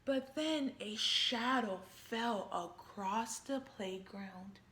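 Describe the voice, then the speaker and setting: A woman reading a children's storybook aloud in a deliberate, animated, instructive way, pausing to question her listeners. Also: a little high, a little hiss or hum. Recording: roomy, echoey sound.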